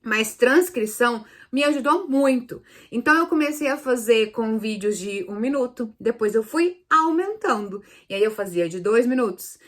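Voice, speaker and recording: A Brazilian woman speaks in a fairly high voice as a teacher hosting an online lesson. Her delivery is casual and explanatory. She is didactic, often repeating and rephrasing the main points so they are easy to follow. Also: lively intonation, normal speed, few pauses. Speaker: Spanish teacher hosting a video lesson series.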